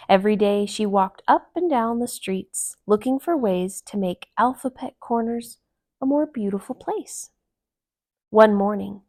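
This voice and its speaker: A woman reading a children's story aloud, with gentle, expressive storytelling narration. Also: mid-range voice, no room sound, studio quality. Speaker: storytime reader.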